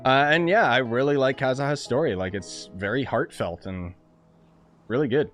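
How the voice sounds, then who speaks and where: A man's voice: medium pitch, moderate pace, a bit bright. A man giving casual, rambling gameplay commentary in an informal way. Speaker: gaming YouTuber. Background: game music.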